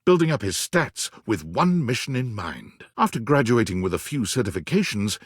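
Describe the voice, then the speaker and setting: A man's voice: mid-range voice, big pitch swings, moderate pace, husky, no echo, studio quality. A man playing a dramatic storyteller, narrating a video game in a theatrical, scripted second-person style. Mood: dramatic, storytelling.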